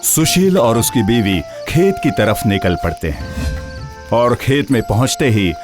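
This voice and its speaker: A man's voice: mid-range voice, big pitch swings, unhurried, over music. A man reading scripted story narration as the narrator.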